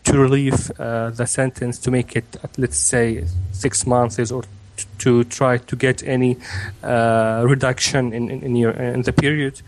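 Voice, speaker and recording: A man in his twenties, from Egypt and raised in Kuwait, answering interview questions hesitantly with many pauses and restarts. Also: medium pitch, level tone. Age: 20s. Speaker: interview guest. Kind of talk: remote interview.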